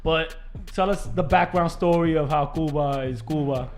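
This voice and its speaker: A man's voice: a little high, moderate pace. A middle-aged man hosting a podcast, chatting casually with his guest, laughing along and dropping in Spanish phrases. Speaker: podcast host. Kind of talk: casual interview chat.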